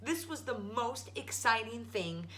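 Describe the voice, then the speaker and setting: A woman narrates a children's storybook. Her delivery is bright and expressive, at an unhurried pace, with slight noise in the recording. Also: fairly high pitch. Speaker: storytime host. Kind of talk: animated children's read-aloud.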